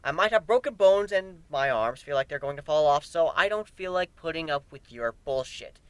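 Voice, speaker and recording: A man's voice: on the high side, animated, normal speed, fairly bright tone, clear, resonant tone, no echo. A man voicing an exhausted, foul-mouthed, hot-tempered and sarcastic griffin in a dramatic story reading. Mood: angry, menacing. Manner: grumbling, sarcastic, prone to cursing outbursts.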